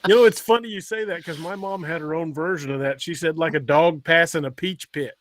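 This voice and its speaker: A man's voice: fairly high pitch, fairly quick. A man hosting a casual livestream, informally chatting and reading out comments from listeners with some salty language. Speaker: podcast host reading live chat comments.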